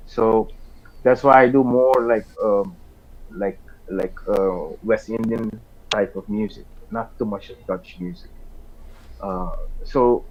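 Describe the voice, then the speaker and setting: Surinamese-accented man, Hindi-speaking singer, guest in an online chat show, halting and repetitive delivery. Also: lowish voice, lo-fi sound, slight background noise. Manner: halting, repeats words often. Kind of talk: casual interview chat.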